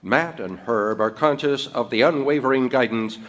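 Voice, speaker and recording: A man's voice: mid-range voice, normal speed, a bit bright. A man narrating in the scripted style of an old-time radio drama announcer.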